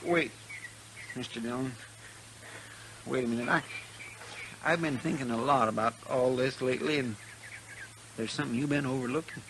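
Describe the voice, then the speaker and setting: A man's voice: medium pitch, moderate pace, long pauses, fairly bright tone, close to an everyday voice. A man playing an earnest, loyal cowtown sidekick in a 1950s western radio drama, with a drawling rural American western accent. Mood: sombre, earnest. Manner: drawling, plain-spoken, deferential. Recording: noisy 1950s radio recording, outdoor sound effects.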